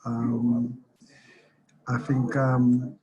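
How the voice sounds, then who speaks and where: A man managing project production introduces himself on a video call. His voice stays flat and even, and he stumbles a little over words. The delivery is plain and unhurried. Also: mid-range voice, muffled recording. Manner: hesitant, stumbling over names.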